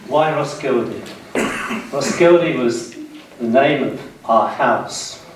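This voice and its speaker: A man in his sixties with a British accent gives a public history lecture in a measured way, pausing between short phrases. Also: mid-range voice. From United Kingdom, west of London. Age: 60s. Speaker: guest lecturer. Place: indoor seminar room, speaking to an audience. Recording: very echoey room, distant mic, faint noise.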